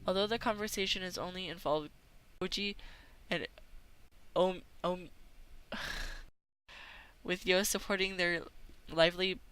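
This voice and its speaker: Low-pitched woman reading a game story aloud. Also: fairly even intonation, unhurried, long pauses, fairly bright tone, clean tone, poor audio. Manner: stumbles over words while reading. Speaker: visual novel let's player. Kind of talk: reading a visual novel script aloud. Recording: music playing behind the voice. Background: game music.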